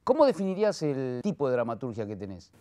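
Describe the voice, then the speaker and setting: Argentine male interviewer, medium-pitched. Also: big pitch swings, fast, warm tone. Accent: Rioplatense Argentine Spanish. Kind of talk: casual conversational interviewing.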